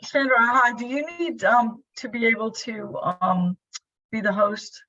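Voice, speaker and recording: A middle-aged woman chatting casually and apologetically as she hosts an online club meeting from a bedroom office, with a husky voice. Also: a little high, measured pace, bright, forceful tone. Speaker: club meeting host, filling in for the president. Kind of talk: casual pre-meeting chat on a video call. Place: bedroom used as a home office. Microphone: video call. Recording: dull, lo-fi video call audio.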